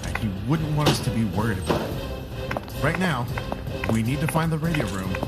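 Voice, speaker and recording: A man acts a steady war veteran in an audio drama. He speaks at a middling pitch, matter-of-fact with dry wit. Loud music plays under him. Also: moderate pace, natural voice. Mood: determined. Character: steady war veteran adventurer, wry and determined. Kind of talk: modern audio drama acting.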